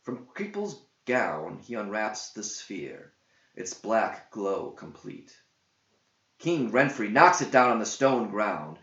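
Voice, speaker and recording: Slow, echoey low-voiced man narrating an epic. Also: very animated, fairly bright tone. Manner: theatrical, declamatory storytelling. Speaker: narrator, author reading own verse epic. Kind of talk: dramatic scripted verse narration. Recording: dull roomy echoing home recording.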